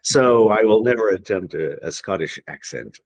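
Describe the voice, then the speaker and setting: Man, very low voice, rambling podcast guest who keeps restarting his sentences. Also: animated, moderate pace, rough recording. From Tennessee, USA. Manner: rambling, hesitant, with many restarts and fillers. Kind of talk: casual podcast chat.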